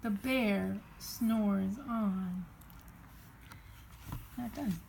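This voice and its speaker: A woman reading a children's bedtime story aloud in an expressive, playful sing-song way, prompting a child along. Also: mid-range voice, very slow, creaky, slight background noise.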